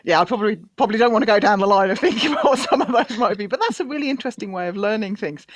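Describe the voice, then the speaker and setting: A woman hosting a live show talks quickly, restarting and interrupting herself as she goes. The sound is dry and close to the mic. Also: medium pitch, expressive, no room sound. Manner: chatty, self-interrupting, many restarts. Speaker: host. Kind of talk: live online chat.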